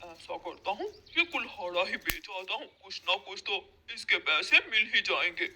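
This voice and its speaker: A woman's voice: mid-range voice, lively intonation, normal speed, noisy background, voice pushed down, natural voice. A woman voicing a lazy, scheming male crow in a cartoon story, whining and self-justifying.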